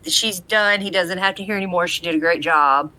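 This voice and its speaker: A woman's voice: lowish voice, a little fast, sharp tone, low-quality recording. A woman co-hosting a podcast, giving a casual episode recap in a conversational way. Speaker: podcast co-host.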